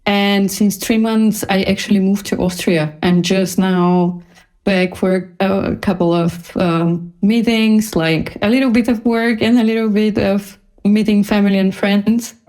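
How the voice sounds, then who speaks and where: A woman's voice: mid-range voice, fairly even intonation, a little slow, a little mellow. A woman from Bulgaria, a designer speaking casually as a guest in an interview.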